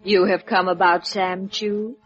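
A woman playing an enigmatic lady of a wealthy house in an old radio drama, speaking in a measured, formal way. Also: mid-range voice, level tone, fairly bright tone, faint music behind, lightly acted. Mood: cool, guarded. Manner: measured, formal, without contractions. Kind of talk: 1950s radio drama acting. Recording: dull old radio recording.

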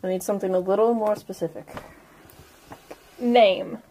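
A woman's voice: medium pitch, fairly even intonation, moderate pace, mellow, a little hiss or hum, low-quality recording. A woman co-hosting a podcast, bantering casually with her friends. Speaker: podcast co-host.

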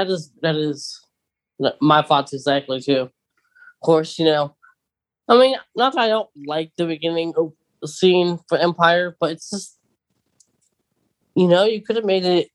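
A podcast co-host in casual chat, talking hesitantly with many fillers and restarts while steering the conversation with questions. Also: mid-range voice.

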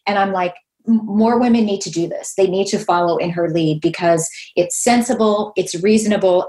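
A woman in her fifties hosting a podcast, talking with enthusiasm and acting out quotes in casual chat. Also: medium pitch. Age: 50s. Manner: enthusiastic, animated, quotes herself and others. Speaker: podcast host.

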